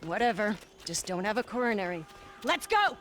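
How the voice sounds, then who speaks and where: A woman's voice: mid-range voice, very animated, moderate pace, fairly bright tone, a little hiss or hum. An actress playing a bitter, combative woman in her thirties in a video game, snappish and defensive. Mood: defensive, hostile. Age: 30s. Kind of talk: video game cutscene acting.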